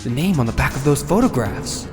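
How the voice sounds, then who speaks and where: An actor playing an earnest, dogged and excitable young defense lawyer in a video game, with a probing way of talking. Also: male voice, mid-range voice, brisk, music in the background, natural voice. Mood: thoughtful. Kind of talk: dramatic video game character acting with inner monologue.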